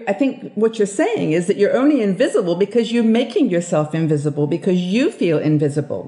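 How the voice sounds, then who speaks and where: A woman in her sixties hosting a conversational interview over a video call, with measured, reflective phrasing. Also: lowish voice, mellow. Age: 60s. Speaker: interview host. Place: room at home, indoors. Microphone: earphones on a video call. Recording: roomy, echoing video call audio.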